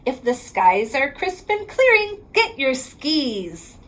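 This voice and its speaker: A woman's voice: high voice, very animated, fairly bright tone, slight background noise. A woman reading a rhyming picture book aloud to children in a sing-song way, drawing out the ends of lines. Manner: sing-song rhyming delivery, drawn-out line endings.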